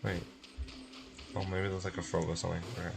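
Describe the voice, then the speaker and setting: A man's voice: sits low, little pitch movement, fast, vocal fry, music in the background. Casual male game streamer muttering asides. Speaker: streamer playing and voicing a game. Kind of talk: casual gameplay commentary.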